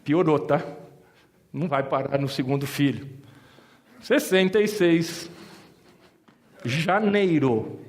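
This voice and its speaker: A man's voice: on the high side, takes it slowly, pauses often. Storytelling man with a Mooca accent. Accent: São Paulo Italian-influenced (Mooca). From Brazil. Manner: storytelling, joking asides to the crowd. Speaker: insurance advisor giving a talk. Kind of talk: personal story told to an audience.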